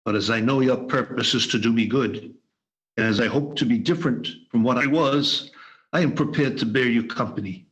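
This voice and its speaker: A man's voice: mid-range voice, normal speed, natural voice, rough recording. A man playing a fearful, hesitant old miser in an amateur radio play recorded over a video call. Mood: anxious. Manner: hesitant, pleading. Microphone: home video call mic.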